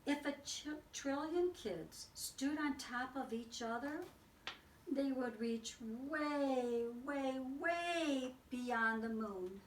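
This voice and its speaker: A woman reading a picture book aloud to children like a teacher, expressive and exclaiming, with dramatic pauses and little asides. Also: high voice. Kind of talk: read-aloud storytelling for children. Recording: roomy, distant mic with faint noise.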